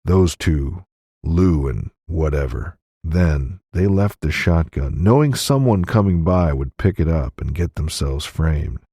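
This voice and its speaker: A man's voice: very deep voice, expressive, unhurried, warm tone, breathy edge, no echo, clean studio sound, heavily acted. A man voicing a wary, shrewd Old West drifter with serious, drawling frontier talk in an audiobook story. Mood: grim, wary.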